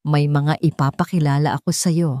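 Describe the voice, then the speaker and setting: Woman voicing an earnest, mellow Filipino father. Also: sits low, steady tone, normal speed, dry recording, studio quality, natural voice. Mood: tender. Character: earnest, tender adult man, wealthy devoted father.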